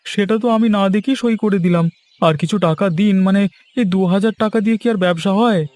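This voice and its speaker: A man's voice: high voice, a little fast, darkish tone, dry recording, lightly acted. A man voicing an earnest, naive villager down on his luck in a cartoon fairy tale, with a plaintive way of talking. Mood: shocked, pleading. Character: naive, debt-ridden male villager, earnest and easily fooled. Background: background music.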